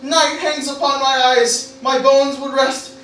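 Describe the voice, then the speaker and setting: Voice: high-pitched, flat delivery, a little slow, fairly bright tone. An actor playing an anxious, doomed young nobleman, declaiming theatrically in a parody Shakespeare radio play. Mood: solemn, resigned. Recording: very echoey live room, distant mic, noisy.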